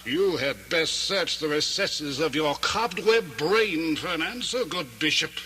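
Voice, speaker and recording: Slow, menacing villainous sheriff. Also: male voice, on the high side, expressive, pushed and bright. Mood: contemptuous. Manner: haughty, drawn-out, mock-courteous threats. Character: scheming, menacing sheriff, cruel and impatient. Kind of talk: theatrical radio drama acting. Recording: noisy old radio broadcast recording.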